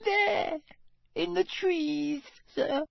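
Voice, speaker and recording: A man's voice: very slow, vocal fry, dry recording, exaggerated voice. A man reading aloud and voicing a tiny, terrified female house elf who stammers and sobs. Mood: frightened whisper. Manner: stammering, sobbing, high squeaky pleading.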